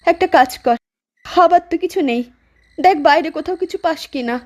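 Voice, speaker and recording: A woman's voice: very high voice, a little fast, darkish tone, dull audio. A woman playing an anxious widowed mother in a horror cartoon, speaking in a plaintive, worried way. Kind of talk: Bengali horror cartoon acting.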